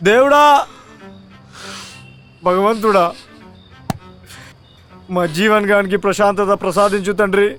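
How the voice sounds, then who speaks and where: A man's voice: sits high, normal speed, long pauses, fairly bright tone, soft background music. A man playing a grieving young buddy in a comedy web series, mock-solemn and pleading in Telangana Telugu. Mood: mock-solemn grief.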